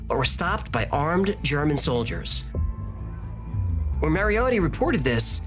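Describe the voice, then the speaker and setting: A man reads a scripted documentary narration with a lively, swinging pitch. He delivers it punchily and builds drama with rhetorical questions. Clear music plays underneath. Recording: narration over music from a played video.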